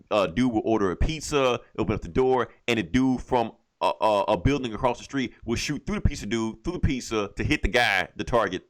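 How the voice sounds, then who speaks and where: A man co-hosting a podcast, telling a story in a casual, rambling, slangy way with some swearing. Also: fairly low pitch. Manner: rambling, slangy, acts out lines in passing. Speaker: podcast co-host reviewing comic books. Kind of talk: casual, profane storytelling recap.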